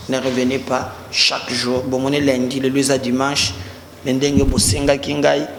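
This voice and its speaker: A man hosting an online news show, chatting casually and pleading with viewers to share and subscribe. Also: medium pitch. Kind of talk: casual commentary mixing French and Lingala. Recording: echoey room with faint noise.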